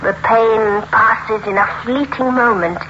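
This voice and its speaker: An actress playing a menacing, murderous evil double in an old radio drama, taunting her victim with slow, deliberate delivery. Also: a little high. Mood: gloating, taunting. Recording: noisy, muffled old radio recording.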